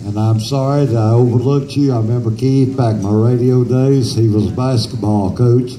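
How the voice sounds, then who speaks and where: Dark-voiced announcer, echoey PA. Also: male voice, medium pitch, steady tone, normal speed. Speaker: event announcer. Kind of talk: informal stage announcements. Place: outdoor festival stage. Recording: echoey outdoor PA, faint noise.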